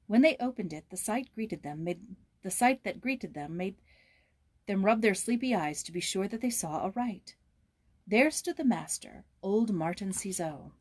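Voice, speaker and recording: A woman's voice: medium pitch, a little mellow, breathy edge. A woman reading a storybook aloud as host, with casual asides.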